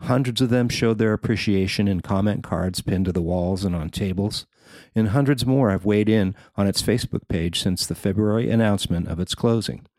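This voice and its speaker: A man with a fairly low voice narrates a written feature article. He reads from a prepared script as its writer, in a dry recording with very little room sound. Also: moderate pace, darkish tone, dry recording. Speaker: newspaper writer reading own article.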